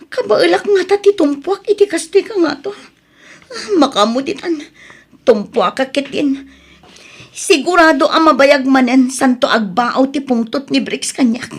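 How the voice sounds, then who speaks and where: A woman's voice: high voice, expressive, unhurried. A woman playing a distressed young wife in an emotional radio drama. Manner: emotional, tearful. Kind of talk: Ilocano radio drama acting.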